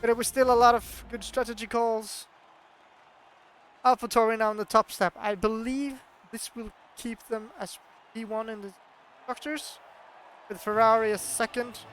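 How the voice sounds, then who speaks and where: A man from Norway with a Norwegian accent, not a native English speaker, giving chatty, excitable commentary on a sim race. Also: very high voice, moderate pace, faint music behind. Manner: chatty, excitable, laughs often.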